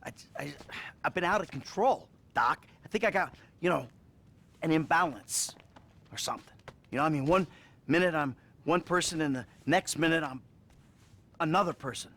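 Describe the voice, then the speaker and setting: An actor playing a troubled, foul-mouthed middle-aged American criminal in a video game cutscene, halting and wry. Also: male voice, a little high, fairly bright tone. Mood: confessional, anguished.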